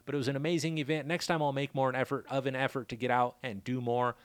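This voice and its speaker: A laid-back man talks straight into a close studio mic, his throat worn raspy. He rambles casually through stories and shout-outs. The room is dead dry, with no echo. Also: mid-range voice, level tone, quick pace. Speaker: podcast host.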